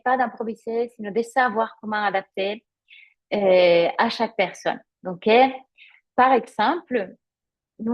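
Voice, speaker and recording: A woman with a somewhat high voice and a Spanish accent from her native language teaches in a conversational, explanatory way. She pauses to find her words as she goes. The audio is a little dull and lo-fi, like a live webinar. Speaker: yoga teacher trainer.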